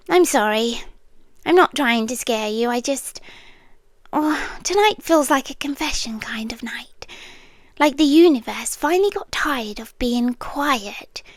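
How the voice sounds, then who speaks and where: Woman acting a high, whispery British stalker girlfriend. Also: no echo, studio quality. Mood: nervous, coaxing. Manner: soft, breathy, hesitant, drifting into whispers. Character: obsessive yandere stalker girl, young, sweetly unsettling, lovestruck. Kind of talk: intimate ASMR roleplay. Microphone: close microphone, dry.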